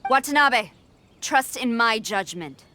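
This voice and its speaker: A woman's voice: a little high, animated, slow, sharp tone, slight background noise, exaggerated voice. A woman playing a decisive, composed army commander in a video game, speaking with authority. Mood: firm. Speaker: military commander.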